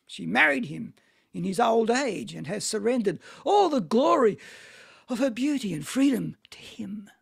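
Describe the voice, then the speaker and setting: A man's voice: high-pitched, very animated, moderate pace, creaky, breathy edge, exaggerated voice. A man reading the part of a bitter, envious, sardonic middle-aged man in an amateur stage play. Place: at home on a video call. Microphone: video call microphone.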